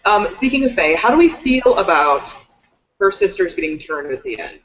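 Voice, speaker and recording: A woman's voice: on the low side, sing-song, normal speed, music in the background. A woman co-hosting a book livestream in casual chat, peppering her talk with "like" and putting questions to the others. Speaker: co-host of a book discussion livestream. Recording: dull, lo-fi livestream audio.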